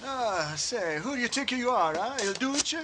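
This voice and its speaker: An actor playing a hot-headed, complaining gravedigger with an Italian accent in a radio drama. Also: male voice, very high-pitched, moderate pace, noisy background. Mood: irritated. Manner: excitable, complaining. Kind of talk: radio drama dialect acting.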